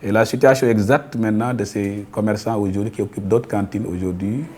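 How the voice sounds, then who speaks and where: A man's voice: fairly low pitch, steady tone, a little fast, a little mellow, slightly rough. A man with a Senegalese accent speaking formally as a local official, oratorical and repetitive. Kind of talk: formal on-site interview.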